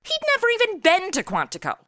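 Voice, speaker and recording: A woman's voice: very high-pitched, huge pitch swings, fast talker, sharp tone, dry recording, clean studio sound, exaggerated voice. A woman playing a wisecracking, unimpressed superheroine in a comedic audio drama, with an American accent and a breezy, sarcastic manner.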